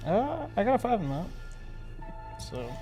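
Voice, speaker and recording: Casual tabletop game player, unhurried delivery, middling pitch, soft music underneath. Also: big pitch swings.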